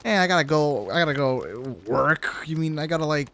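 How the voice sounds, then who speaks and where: A man's voice: a little high, expressive, a little fast, noisy background. A man doing casual, joking game livestream commentary, swearing now and then as he talks to his chat. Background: video game music and sounds.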